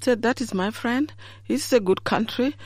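A woman's voice: fairly high pitch, moderate pace, dry recording, studio quality. Laughing storyteller woman from Uganda. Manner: warm storyteller, laughs often, acts out quoted voices. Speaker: interviewee. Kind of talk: storytelling in an interview.

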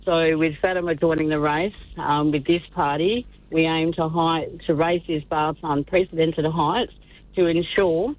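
A woman's voice: low-pitched, fairly even intonation, moderate pace, no echo. A woman candidate speaking earnestly in a phone-in radio interview, with some fillers. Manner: earnest campaign speech with fillers. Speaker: election candidate, guest. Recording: tinny dry phone line.

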